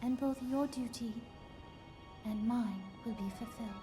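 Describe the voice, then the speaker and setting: Woman acting a high-voiced, earnest young princess. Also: music in the background, lightly acted. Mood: solemn resolve. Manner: solemn, tender, measured. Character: earnest young princess, gentle, dutiful and wistful. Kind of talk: video game voice acting.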